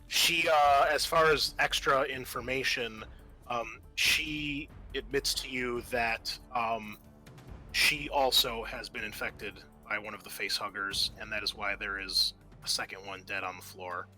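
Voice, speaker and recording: A man with a very bright, forward voice at a middle pitch runs a tabletop game over background music. He narrates casually and haltingly, working things out as he speaks. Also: poor audio. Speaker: game master.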